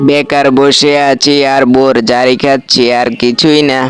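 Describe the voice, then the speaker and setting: A poor, worn-down father from a fairy-tale cartoon, voiced by a man. He speaks in a medium-pitched, self-pitying voice, over background music. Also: level tone, a little slow, natural voice. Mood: despairing. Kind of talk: amateur cartoon dubbing.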